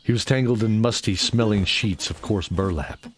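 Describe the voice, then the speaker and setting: A man narrating a scripted audio drama as storyteller, in a deliberate, dramatic manner. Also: lowish voice, a little hiss or hum. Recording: music behind the voice.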